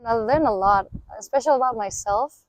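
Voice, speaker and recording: A woman's voice: a little high, a little fast, dry recording, poor audio. Upbeat young woman with a Danish accent. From Denmark. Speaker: exchange student interviewee. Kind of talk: interview answers.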